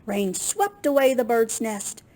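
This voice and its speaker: A woman reading a picture book aloud to children in an expressive, unhurried way, with small asides to her listeners. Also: fairly high pitch. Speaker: children's storytime reader at a library.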